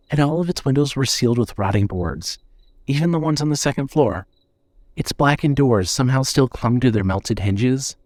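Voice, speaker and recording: A man narrating a scripted horror story in a measured, suspenseful way. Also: mid-range voice, darkish tone, no room sound.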